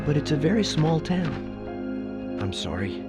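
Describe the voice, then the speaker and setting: An actor playing a gentle, nervous male deputy who stammers and apologizes in a video game cutscene. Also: on the high side, big pitch swings, slow pace. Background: game music.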